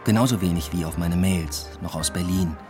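A man's voice: sits low, fairly quick, over music, lightly acted. An actor playing a searching, lovelorn young man adrift abroad, narrating a radio drama in a reflective, understated way. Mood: wistful.

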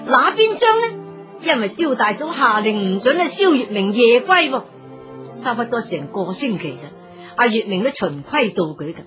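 Animated Cantonese woman storyteller. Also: a little high, moderate pace. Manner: expressive, colloquial exclamations. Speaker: narrator. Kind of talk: animated scripted storytelling narration. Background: faint music.

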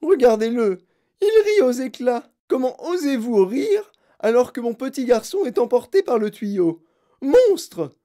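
Overbearing storybook mother: high, shrieking, outraged, swooping pitch. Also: sing-song, a little slow, dark tone. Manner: shrieking, indignant, wailing.

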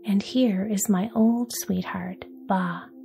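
A woman voicing a bold, wild young robber girl in a soft whisper. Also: medium pitch, fairly even intonation, slow pace, gravelly, faint music behind. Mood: bossy.

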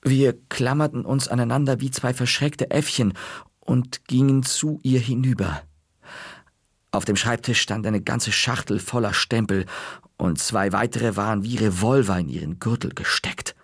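A man narrating a children's story in a measured, dry-humored way. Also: medium pitch, slightly rough, no room sound.

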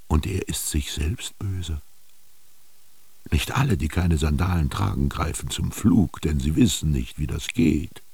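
An actor in a German radio drama playing a commanding, brooding male master who declaims bitter words haltingly, with whispered emphasis, in a gravelly, husky voice. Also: sits low, darkish tone, no room sound, exaggerated voice.

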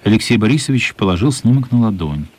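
An actor as the narrator of a children's radio play, reading scripted storybook prose. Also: male voice, fairly low pitch, lively intonation, fast, darkish tone, dry recording. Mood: measured.

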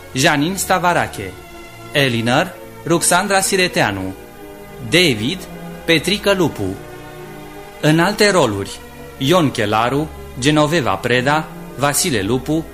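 A male announcer reads formal radio drama credits. His voice is slightly high and moves in a lively way, with deliberate phrasing. Music plays faintly behind him. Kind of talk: formal scripted credits reading.

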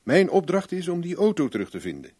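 An actor playing a shrewd, self-assured private investigator in a Dutch radio play, probing in a blunt, wry, plain-spoken way. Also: male voice, a little high, wildly swooping pitch, fast talker, warm tone. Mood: firm, matter-of-fact.

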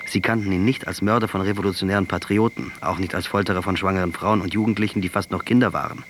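An actor playing a proud, hardened revolutionary commander, sardonic and deliberate, in a radio play. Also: male voice, sits low, fairly even intonation, background noise, close to an everyday voice. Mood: grave, stern. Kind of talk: German radio drama dialogue.

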